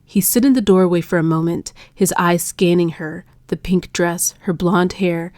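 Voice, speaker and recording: Low-pitched, dry-sounding woman narrator. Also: fairly even intonation, normal speed, a little mellow, no room sound. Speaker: audiobook narrator. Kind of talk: scripted dark romance narration.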